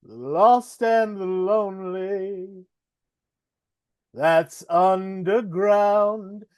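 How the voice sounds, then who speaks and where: A singer draws out a slow, soft opening verse. The sound is dry and close, with no room around it. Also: medium pitch, smooth, no room sound. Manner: drawn-out singing.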